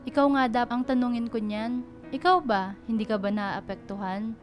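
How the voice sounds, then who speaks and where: A woman's voice: a little high, fairly even intonation, normal speed, soft background music, lightly acted. An actress playing an affectionate, teasing young college girlfriend in a Filipino radio romance drama. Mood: earnest. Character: baby-faced young college woman, playful, affectionate, easily miffed girlfriend.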